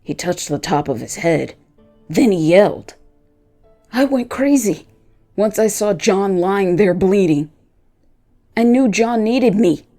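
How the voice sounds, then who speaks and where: Narrator as a loyal, vengeful dog, gravelly and mellow. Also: mid-range voice, lively intonation, slow, pauses often, soft background music. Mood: distressed. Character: vengeful, loyal pet dog telling his own story. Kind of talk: first-person dramatic scripted narration.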